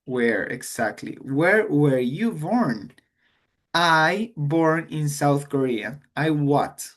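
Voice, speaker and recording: Guy teaching english grammar, slow, switches to to explain. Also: a little high, animated. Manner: slow, explains in English then repeats in Spanish. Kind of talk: online grammar lesson.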